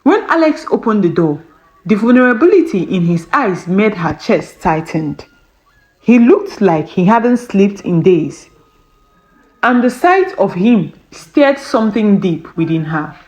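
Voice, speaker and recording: A woman narrating a scripted romance story in a measured, dramatic, expressive storytelling style. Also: medium pitch, low-quality recording.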